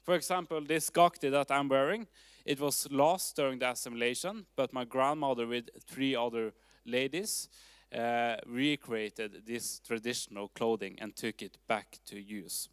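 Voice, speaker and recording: A man with a Norwegian accent giving a public presentation at a town hall forum, speaking in a measured way with fillers and restarts. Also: mid-range voice, clean tone, clean studio sound. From Norway. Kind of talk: presentation talk.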